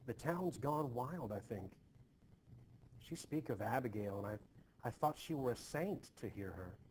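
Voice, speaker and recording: A man's voice: mid-range voice, animated, a little slow, darkish tone, lightly acted. Man reading as anxious Puritan wife, noisy recording. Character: anxious, dutiful Puritan farm wife, quietly reproachful. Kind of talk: expressive play reading. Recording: noticeably hissy home screen recording.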